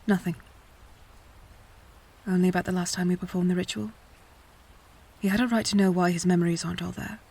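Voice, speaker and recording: A woman acting in an audio drama as a tender, anguished countess and adoptive mother, speaking in a halting, hushed way. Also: lowish voice, steady tone, gravelly, noisy background. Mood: pained, anxious.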